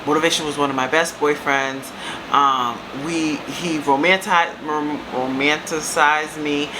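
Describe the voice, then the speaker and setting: A woman in her thirties with a deep voice, telling a casual storytime to her viewers and calling them baby and girl. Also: a little slow. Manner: chatty, calls viewers baby and girl, lively asides. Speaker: storytime vlogger. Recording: steady air-conditioner hum behind.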